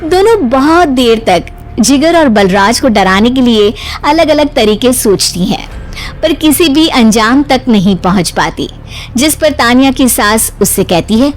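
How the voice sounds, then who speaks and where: A woman's voice: fairly high pitch, expressive, a little slow, soft background music. A woman narrator reading a scripted comic cartoon story as storytelling.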